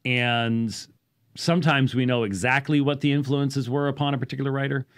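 A man gives an off-the-cuff explanatory talk as a show host, halting at times and leaning on key words. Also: medium pitch, steady tone, smooth. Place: small indoor study with bookshelves. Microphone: studio microphone on boom arm, close.

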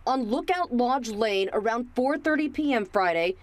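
A woman's voice: a little high, lively intonation, moderate pace. A woman reporter reading scripted narration for a television news story.

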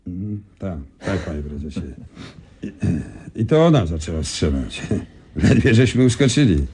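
An actor playing a hired thug who speaks in a mocking, then nervously reporting manner. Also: male voice, deep voice, very animated, a little slow, warm tone, breathy edge, full character voice. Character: male hired thug, crude henchman. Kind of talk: 1990s radio drama acting.

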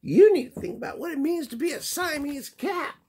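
Casting: dad doing a shrill storybook mother cat, shrieking falsetto scolds. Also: animated, brisk, a little mellow. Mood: scolding.

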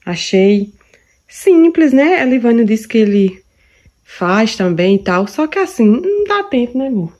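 A woman's voice: fairly high pitch, very animated, a little slow, darkish tone. A woman from Brazil, chatting casually through a cooking vlog in her home kitchen, fond of diminutives. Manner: chatty, affectionate, lots of diminutives. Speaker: vlogger.

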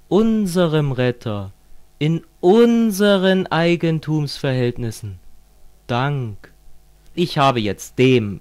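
A man playing a fervent communist who lectures a friend in a didactic, declamatory way, as in an amateur radio play. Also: mid-range voice.

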